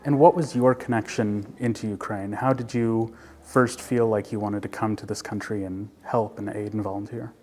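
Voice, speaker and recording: A man's voice: lowish voice, steady tone, moderate pace, few pauses, mellow. A man hosting an interview, giving an earnest, formal introduction and then asking questions. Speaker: interview host.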